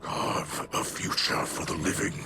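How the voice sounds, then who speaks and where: An actor playing a weary, noble old alien leader in a video game, with a whispery rasp and formal, oratorical speech. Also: male voice, a little slow. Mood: resolute, solemn. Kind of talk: dramatic video game cutscene acting. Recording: loud music under the voice.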